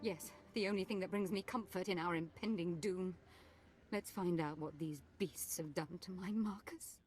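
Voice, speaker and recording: A woman's voice: lowish voice, normal speed, darkish tone, soft background music, close to an everyday voice. A woman playing a proud, protective middle-aged noblewoman in a video game, speaking in a formal, dramatic and disdainful manner. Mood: haughty, impatient. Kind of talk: video game cutscene acting.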